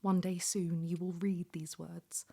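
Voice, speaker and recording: A woman playing a devoted, tender letter writer, soft and breathy, often near a whisper, in an intimate drama monologue. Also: fairly low pitch, flat delivery, measured pace. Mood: tender, hopeful. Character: devoted, tender woman reading her letter to injured loved one.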